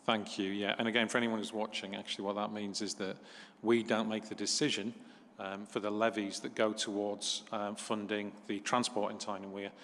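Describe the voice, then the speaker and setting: A man's voice: fairly low pitch, normal speed. Man chairing a council meeting, echoey. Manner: plain, relaxed, asides to viewers.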